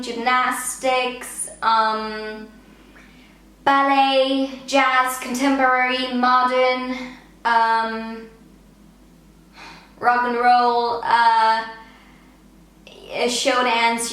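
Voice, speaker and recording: A young woman in her early twenties chats through a viewer Q&A, her voice sitting a little high and filling gaps with "um" and "like". The room is strongly echoey, so every word rings off the walls. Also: a bit bright. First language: Danish. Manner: chatty, many "um" and "like" fillers. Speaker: vlogger. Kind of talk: casual Q&A chat.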